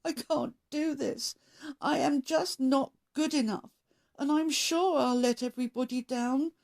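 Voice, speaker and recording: A woman's voice: sits high, measured pace, a little mellow, pitched above the performer's own voice. A woman voicing an anxious, self-doubting male scarecrow in a children's story, plaintive and fretful. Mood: tearful, defeated.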